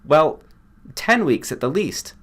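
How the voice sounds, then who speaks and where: A man's voice: fairly high pitch, sing-song, takes it slowly, a bit bright, slightly rough, voice pushed up, heavily acted. A man voicing an earnest, modest male doctor in a story, with a careful, emphatic, protesting manner. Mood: firm, earnest.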